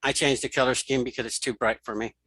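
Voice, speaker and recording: Male tutorial host, middle-aged, crisp dry mic, bright delivery, informal step-by-step narration that thinks out loud. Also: mid-range voice, fairly even intonation, quick pace, no room sound. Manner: informal, thinking aloud, repeats key steps. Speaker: tutorial host and web developer. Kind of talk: screen reader software tutorial, talking through steps.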